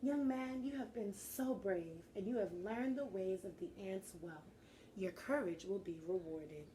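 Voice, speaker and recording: A woman's voice: mid-range voice, animated, unhurried, mellow, a little hiss or hum, lightly acted, low-quality recording. A woman reading the part of a regal ant queen in a children's storybook, commanding and gracious.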